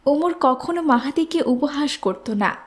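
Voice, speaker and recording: A woman narrator with a high voice tells a scripted children's story. She reads it as a moral tale. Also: lively intonation, measured pace.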